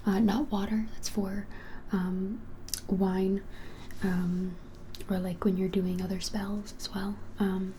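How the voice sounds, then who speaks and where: Casting: woman, 20s, breathy ASMR whisper, gentle hesitant shopkeeper roleplay, faint room hiss. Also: medium pitch, little pitch movement, slow pace. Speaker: ASMR creator playing a witch shop keeper. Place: small plain room indoors.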